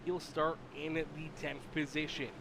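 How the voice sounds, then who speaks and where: A man with a nasal, sick-sounding voice doing energetic play-by-play commentary and hosting a racing livestream. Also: fairly high pitch, moderate pace, background noise. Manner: energetic hype announcer, rattling off names. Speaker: race commentator and stream host.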